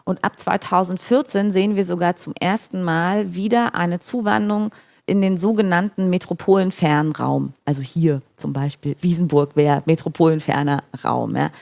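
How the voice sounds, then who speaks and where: A woman's voice: fairly low pitch, moderate pace, creaky, a little mellow. A woman in her forties from Brandenburg, talking through regional trends in a discursive way with fillers and restarts, checking in with "ja?". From Brandenburg, Germany. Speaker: expert guest. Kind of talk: conversational talk about regional development. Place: large room in a rural venue.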